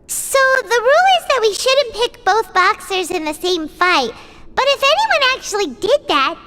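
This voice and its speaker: Tiny squeaky childlike fairy girl. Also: heavy background noise, full character voice. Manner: inquisitive, refers to self in third person. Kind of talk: video game character acting. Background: music.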